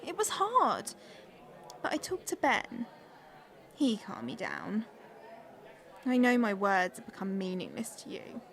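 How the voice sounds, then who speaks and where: A woman's voice: mid-range voice, huge pitch swings, unhurried, vocal fry, long pauses, fairly bright tone, heavily acted. An actress playing a manipulative, theatrical young girlfriend in a radio drama, gushing one moment and self-pitying the next. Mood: wounded, coaxing. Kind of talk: naturalistic radio drama acting.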